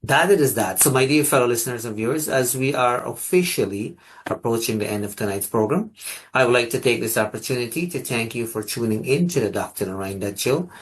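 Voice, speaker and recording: A man's voice: mid-range voice, animated, fairly quick, breathy edge. A man from Ghana hosting an online talk show over a video call, speaking formally with many fillers.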